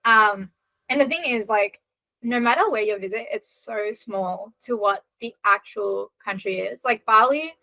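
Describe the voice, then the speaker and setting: A woman's voice: medium pitch, measured pace, sharp tone, no echo, rough recording. A woman from Australia, a podcast guest talking in an earnest, reflective way with many fillers. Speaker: podcast guest, content creator. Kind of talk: casual podcast chat.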